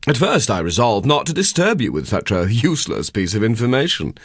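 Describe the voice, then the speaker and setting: A man's voice: mid-range voice, very animated, brisk, husky, no echo, pitched above the performer's own voice. An elderly English-novel parson, played by a male narrator, learned and condescending. He speaks in a raised, very varied voice with precise, bookish care, close and dry. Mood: dry, dismissive.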